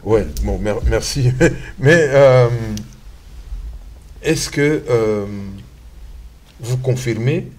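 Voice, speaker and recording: A man interviewing a guest on a TV show, asking his questions haltingly with frequent filler sounds. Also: mid-range voice, darkish tone. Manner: hesitant, many filler sounds.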